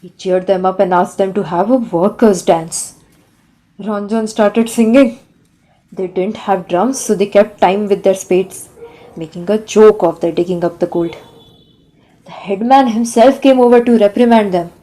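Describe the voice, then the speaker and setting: A woman's voice: medium pitch, unhurried, mellow, close to an everyday voice, low-quality recording. A woman playing an exasperated overseer in an audio play, reporting deferentially to a superior.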